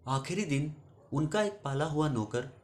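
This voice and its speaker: Higher-pitched man narrating a story. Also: animated, unhurried, a little mellow. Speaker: storyteller and channel host. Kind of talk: scripted narration of a moral story.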